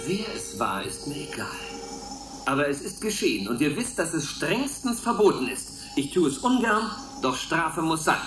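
An actor playing a pompous, strict warden of a space oasis in a radio play, talking in an officious, patronizing manner. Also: male voice, on the high side, big pitch swings, normal speed, reverberant, music in the background. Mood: stern, officious. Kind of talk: German radio play acting.

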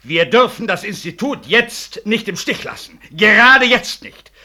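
Radio drama: guarded professor, higher sharp voice, formal diplomatic phrasing, lively intonation. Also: male voice, a little slow, full character voice. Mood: persuasive. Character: guarded, smooth-talking nationalist medical professor. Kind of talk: 1960s German radio play acting.

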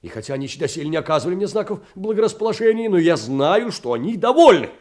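An actor in an audio drama playing a meek, aging petty clerk, pleading in a fussy, old-fashioned, emotional way. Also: male voice, sits high, very animated, quick pace, darkish tone. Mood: defensive. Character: meek, wounded, aging petty government clerk, grey-haired. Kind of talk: theatrical audio drama, letter monologue.

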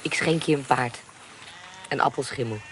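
A woman's voice: extremely low pitch, normal speed. An actress playing a vengeful, commanding woman adventurer and schemer in a radio drama. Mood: brisk, self-assured. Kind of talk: Dutch radio drama acting. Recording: noisy radio drama with background ambience.

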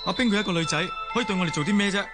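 Earnest young grandson played by an actor, fairly high male voice, radio drama over clear music. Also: racing, close to an everyday voice. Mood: defensive.

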